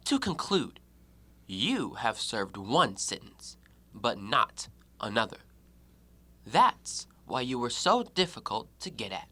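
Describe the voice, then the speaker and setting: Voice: medium pitch, sing-song, slow, bright, forceful tone, husky, exaggerated voice. An actor in an audio play voicing a proud, shrewd, scornful educated man with formal, theatrical delivery. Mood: accusing, probing. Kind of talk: stage-style audio play acting.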